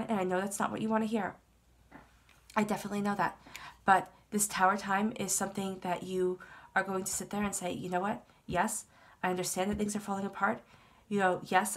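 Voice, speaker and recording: Stuffy-nosed woman giving a tarot reading. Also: mid-range voice. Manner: soothing, reassuring, repeats phrases. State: stuffed up from a cold. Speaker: tarot reader. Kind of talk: tarot reading monologue to camera.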